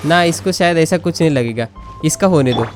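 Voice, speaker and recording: A woman's voice: deep voice, racing. A woman with an Indian accent giving chatty, giggly, casual gameplay commentary, switching between Hindi and English. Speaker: gaming video host. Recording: game music behind the voice. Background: video game music and sounds.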